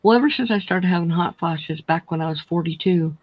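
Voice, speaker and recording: A woman's voice: lowish voice, brisk, no echo, lo-fi sound. A woman in her sixties chatting casually on a livestream and telling long family stories. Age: 60s. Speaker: livestream co-host. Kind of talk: casual chat.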